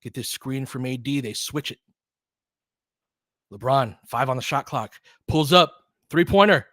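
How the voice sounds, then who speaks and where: Man, medium pitch, informal fan play-by-play, clipped basketball calls, partisan, excitable on big plays, dry recording. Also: unhurried, no room sound. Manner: terse, clipped phrases. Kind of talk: informal live basketball commentary.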